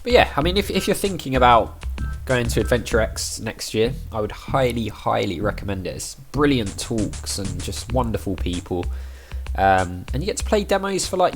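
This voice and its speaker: A man with a croaky, worn voice, chatting casually as he plays through an adventure game and thinks aloud. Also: lowish voice. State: croaky voice, recovering from overuse. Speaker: let's play gamer.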